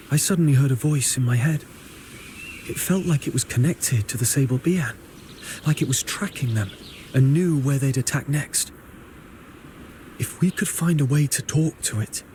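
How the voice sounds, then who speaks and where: A man's voice: medium pitch, moderate pace, pauses often, darkish tone, slight background noise, lightly acted. A man playing an earnest, caring young hero in a video game, speaking sincerely. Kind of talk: video game character voice acting.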